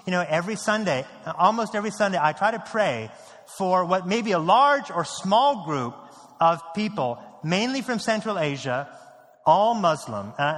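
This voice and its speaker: A male pastor delivers a sermon with an earnest, steady conviction, repeating key lines and sinking low and quiet when the subject turns grave. His voice sits a little high for a man. The sound carries the long reverb of a church sanctuary. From United States.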